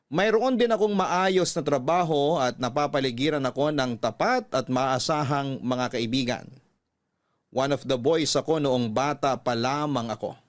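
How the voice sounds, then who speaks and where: A man with a medium-pitched voice narrates a personal letter as a radio host. He tells it as a story, measured and sincere. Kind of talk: dramatic reading of a listener's letter.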